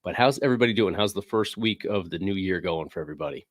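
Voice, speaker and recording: Man, very low voice, dry close sound, casual livestream host, rambling with fillers, slightly stuffy from a cold. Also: lively intonation, fast talker, no echo. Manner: rambling, filler-heavy, reads chat aloud. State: recovering from a cold, sniffling. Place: indoor game room. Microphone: desk microphone.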